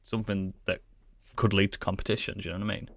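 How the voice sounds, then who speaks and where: A man's voice: deep voice, flat delivery, fast, vocal fry, a bit bright, no echo. A British man chatting casually as a gaming podcast co-host, blunt, sweary and opinionated.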